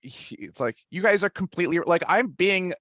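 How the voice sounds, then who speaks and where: A man's voice: high-pitched, animated, normal speed, fairly bright tone. A man talking casually as a panelist on a discussion podcast, restarting his phrases as he goes.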